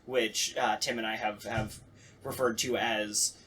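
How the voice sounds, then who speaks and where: A man's voice: on the low side, flat delivery, measured pace, pushed and bright, a little hiss or hum. Man chatting casually, telling stories. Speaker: podcast host. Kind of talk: casual chat about radio plays.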